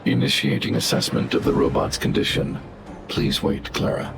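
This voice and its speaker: A man playing a calm, formal robot guardian in a video game, speaking in a clinical, emotionless way. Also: extremely low pitch, moderate pace, loud music behind the voice. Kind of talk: video game character acting.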